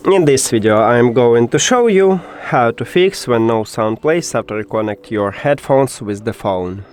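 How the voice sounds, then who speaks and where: A man's voice: mid-range voice, animated, unhurried. A man hosting a step-by-step tech tutorial in an instructional way, with slightly non-native phrasing.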